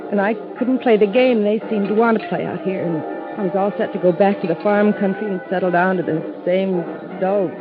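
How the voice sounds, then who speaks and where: An actress voices a sweet, sincere young woman in an old radio drama. Her voice sits at a middle pitch with an emotional, earnest manner. The sound is dull vintage audio with music around it. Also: fairly quick, close to an everyday voice. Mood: wistful, tearful. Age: young adult. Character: young female office assistant, sweet, earnest, grieving. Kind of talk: 1950s radio drama acting. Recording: muffled vintage radio recording.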